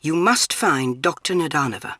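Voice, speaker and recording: An actress playing a stern older British spy chief in a video game, clipped, commanding and dryly disapproving. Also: female voice, sits low, lively intonation, normal speed, bright, forceful tone, no echo, clean studio sound, heavily acted.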